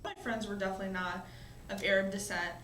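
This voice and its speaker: A woman in her twenties speaks in a reflective, unhurried interview voice, often pausing with "um" and "you know." Her voice sits in a middle pitch range. The recording has a roomy echo and a faint hiss underneath. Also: fairly even intonation, fairly bright tone. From born in Syria, raised in the US. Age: 20s. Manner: conversational, reflective, frequent "um" and "you know" fillers. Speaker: college student, interviewee. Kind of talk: personal storytelling interview.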